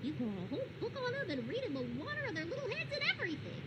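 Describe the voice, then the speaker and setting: A woman's voice: extremely high pitch, huge pitch swings, very fast, full character voice. A woman voicing a bubbly, playful female puppet in a cartoon, with a teasing, exaggerated manner. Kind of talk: cartoon character voice acting. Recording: loud background noise.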